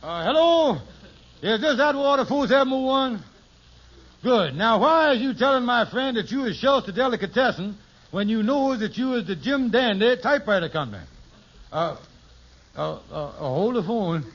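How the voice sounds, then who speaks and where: An actor playing a scheming, hen-pecked husband in an old radio sitcom, with a wheedling, stammering, theatrical delivery. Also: male voice, very high voice, animated, normal speed, a bit bright, exaggerated voice. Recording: noisy, dull old radio recording.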